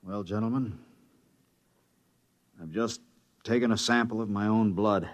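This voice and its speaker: A man's voice: fairly low pitch, a little slow, close to an everyday voice. An actor playing an anxious, downbeat male crew scientist in 1950s radio drama style. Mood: grim. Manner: gloomy and worried. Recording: muffled 1950s radio broadcast.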